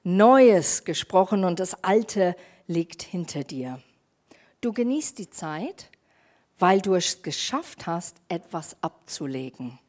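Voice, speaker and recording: Slow-speaking English-accented woman pastor. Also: lowish voice, big pitch swings, darkish tone. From half German, half English. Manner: informal, chatty preaching with fillers and grammar slips. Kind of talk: sermon.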